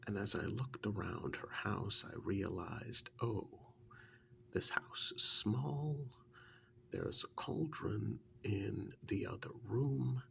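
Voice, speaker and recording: A man playing a witch's assistant in an ASMR role-play, softly telling his story in an earnest, wary, hesitant way with a breathy, gravelly voice. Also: fairly low pitch, a little hiss or hum, heavily acted. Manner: hesitant, unhurried first-person storytelling.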